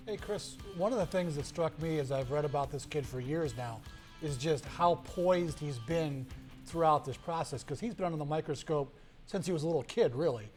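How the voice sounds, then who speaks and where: A man's voice: a little high, normal speed, a little mellow, faint music behind. A man hosting sports talk, putting questions to a guest in a conversational interview style.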